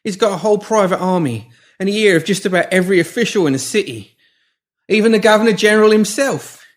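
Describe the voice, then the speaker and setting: A seedy pimp played by a male narrator pleads in a high, strained voice pushed well up from normal speech. He babbles breathlessly and loudly, desperate and in pain, like a cornered crook in an audiobook thriller. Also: quick pace, natural voice. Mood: desperate, babbling.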